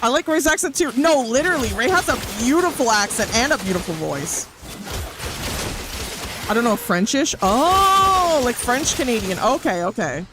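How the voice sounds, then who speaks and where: A woman's voice: on the high side, normal speed, noisy background. A woman streaming as a VTuber, chatting casually and reacting to chat while she plays a game. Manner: animated, reacting to chat, rising to outbursts. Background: game music.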